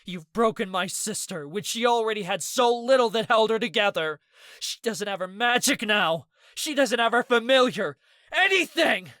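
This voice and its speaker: Sobbing, enraged young man; pitched high, strained, breaking. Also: animated, moderate pace, bright, forceful tone, no echo, studio quality. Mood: furious. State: crying. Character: young man, grief-stricken, sobbing and furious.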